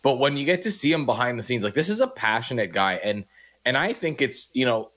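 A man's voice: mid-range voice, big pitch swings, fairly quick, a bit bright. Chatty man co-hosting sports radio. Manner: casual, restarts often, argues his points.

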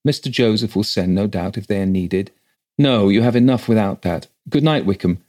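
A man's voice: on the low side, normal speed, darkish tone, natural voice. A man voicing an educated, slightly impatient country clergyman with a formal, authoritative manner in a ghost story read aloud. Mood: impatient, businesslike.

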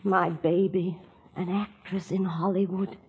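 An actress in an old radio drama playing a gentle, doting middle-aged mother with a husky voice. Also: fairly low pitch, level tone, measured pace, slight background noise. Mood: fond. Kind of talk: 1940s radio acting. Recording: muffled 1940s radio recording.

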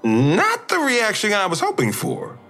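Audio drama boyfriend,: injured, affectionate, earnest, highish voice with hugely animated pitch. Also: male voice, a little slow, soft background music, heavily acted. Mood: hurt. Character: injured, playful boyfriend, tender, earnest, wearing thin.

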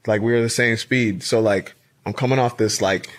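A man in his twenties from the Bahamas, with a partly Americanized Bahamian accent, telling stories as a podcast guest in a relaxed way, full of "like". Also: on the low side, normal speed, slight background noise. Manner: laid-back storyteller, fills with "like". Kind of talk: casual chat.

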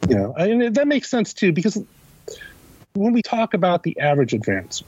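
A man's voice: high-pitched, sing-song, normal speed, a little mellow, husky, no room sound, dull audio. A man speaking as an expert podcast guest, explaining in an emphatic, conversational way, repeating points and checking in with the listener. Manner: emphatic, repeats himself, often checks with "you know" and "right?". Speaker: podcast guest, critic and editor.

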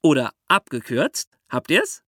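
A man's voice: on the high side, huge pitch swings, a little slow, a bit bright. A man hosting a podcast, explaining things conversationally and addressing young listeners directly. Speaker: podcast host.

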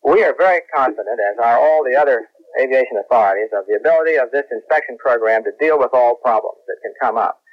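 Man, official spokesman, formal measured phrasing, medium pitch, careful statement, muffled radio clip. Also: expressive. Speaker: government aviation official.

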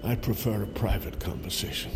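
An older actor playing a blunt, skeptical brother who answers in curt, sardonic questions. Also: male voice, low voice, very animated, a little fast, big-room sound, over music. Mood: wary. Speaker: brother of the astronaut. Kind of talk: audio drama acting.

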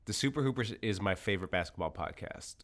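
A man's voice: fairly low pitch, lively intonation, fast, fairly bright tone. A man co-hosting a podcast in casual, playful banter, often chiming in agreeably. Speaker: podcast co-host.

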